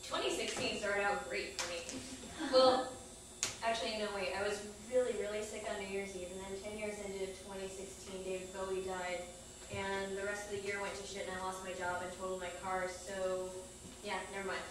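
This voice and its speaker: A woman's voice: medium pitch, flat delivery, a little fast. Woman, casual stage monologue, self-correcting, distant mic, noisy recording. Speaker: spoken-word storyteller on stage. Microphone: far camera microphone. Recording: echoey theater, distant mic, clear noise.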